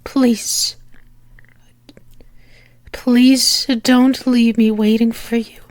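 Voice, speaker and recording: Actress for female demon in love, ASMR roleplay: high pitch, earnest halting pleas, close dry sound. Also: no echo. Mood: resigned, tender. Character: lovesick female demon pleading with angel lover. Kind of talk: intimate ASMR roleplay acting.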